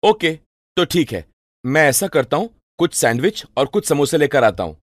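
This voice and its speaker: A man's voice: on the high side, big pitch swings, normal speed, dry recording, clean studio sound. A man playing a helpful young student brother, eager and polite, in storybook cartoon dialogue. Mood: eager, friendly.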